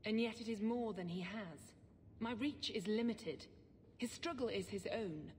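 An actress playing a stern, secretive, zealous church sister in a video game, speaking formally and gravely. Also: female voice, slow, a little mellow, lightly acted. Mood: resolute. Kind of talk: video game dialogue acting. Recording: reverberant game audio with low rumble.

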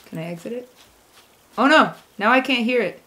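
A woman's voice: a little high, animated, normal speed, bright, forceful tone, low-quality recording. A young woman streaming from her home room with a sore voice, chatting playfully with her viewers while she plays a game. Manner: chatty, playful, talks to chat. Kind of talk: casual live-stream chat while gaming. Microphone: desk mic set back.

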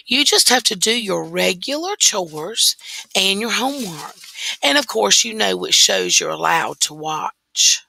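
A woman reading the lines of a patient father in a storybook tale, in a calm, reassuring way. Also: medium pitch, unhurried, vocal fry, no echo, exaggerated voice, poor audio. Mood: reasonable.

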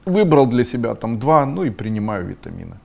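A middle-aged man hosting an esoteric webinar, reading viewers' questions aloud and answering them bluntly, sometimes scolding with sharp rising outbursts. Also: medium pitch, sing-song, fairly quick, vocal fry, highs cut off.

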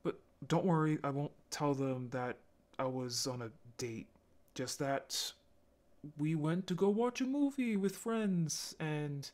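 A man playing a lonely, apologetic young male zombie in an audio roleplay, speaking in a halting, intimate way. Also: a little high, darkish tone. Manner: halting, stammering, sighing.

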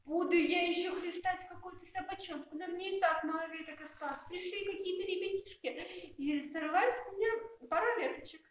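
Woman playing a high, drowsy branch. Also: a little fast, few pauses. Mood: sleepy, grumbling. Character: sleepy, unwilling tree branch. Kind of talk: amateur puppet theatre acting. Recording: very echoey room, distant mic.

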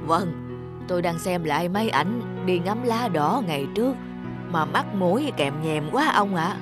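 A man's voice: high voice, expressive, measured pace, loud music behind the voice. A man voicing a frail old widowed father in a cartoon, rambling along in a wistful, nostalgic way. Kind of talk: cartoon voice acting.

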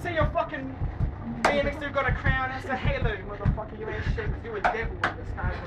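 A man's voice: very high-pitched, steady tone, fast talker, very noisy. A young man with a New Zealand accent freestyle rapping on a train, full of brash, profane, swaggering boasts. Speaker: freestyle rapper. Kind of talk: freestyle rap battle. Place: inside a train carriage. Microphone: handheld camera filming from a distance. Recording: distant, echoey, loud music behind.

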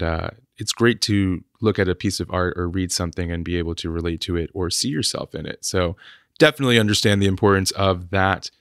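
A man with a deep voice hosts a podcast and asks his guest earnest, easygoing questions. He is recorded close and dry, with no room sound around him. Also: fairly bright tone, dry recording. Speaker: podcast host. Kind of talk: podcast interview.

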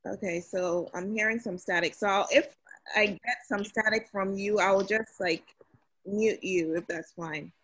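A young woman from Ghana hosting an online meeting in a relaxed, chatty way with many fillers, heard over a video call. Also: mid-range voice, unhurried, slightly rough. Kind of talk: casual meeting talk.

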